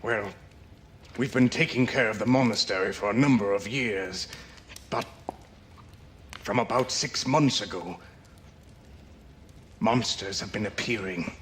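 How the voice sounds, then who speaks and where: A low, measured old caretaker, ex-sailor, kinda cagey. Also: male voice, fairly bright tone, slight background noise. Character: gruff older male caretaker, former sailor. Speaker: game character.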